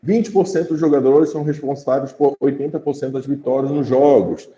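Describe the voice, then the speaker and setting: A man's voice: medium pitch, a little fast, dark tone, lo-fi sound. A man with a Brazilian Portuguese accent teaching an informal class, talking conversationally and often checking in with his students. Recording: roomy echo.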